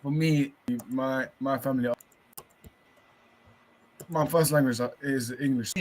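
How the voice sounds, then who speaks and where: A man's voice: medium pitch, steady tone, takes it slowly, poor audio. A man bantering casually about football on a watch-along, laid-back and blokey.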